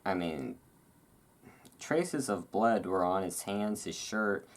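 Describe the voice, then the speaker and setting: A host doing a relaxed ASMR-style reading aloud, breaking off into informal, musing asides. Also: low voice, slow pace. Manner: informal, chatty, musing asides between read passages.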